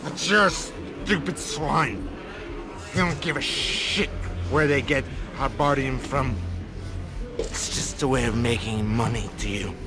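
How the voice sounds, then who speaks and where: An actor playing a belligerent, fanatical drunk miner in a video game, ranting in a slurred manner. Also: male voice, mid-range voice, slow pace, over music. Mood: drunken, accusing. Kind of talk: video game cutscene acting.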